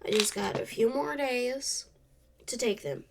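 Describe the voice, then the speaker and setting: Slow, congested young woman podcaster. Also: on the high side, creaky, background noise. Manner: slow, halting and soft-spoken, trailing off. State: congested from a cold. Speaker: podcast host. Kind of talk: solo podcast monologue. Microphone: camera off to the side.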